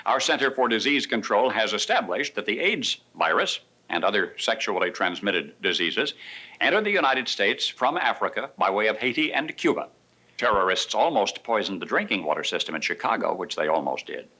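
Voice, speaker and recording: A man's voice: fairly low pitch, very animated, normal speed, sharp tone. A man giving an emphatic commentary.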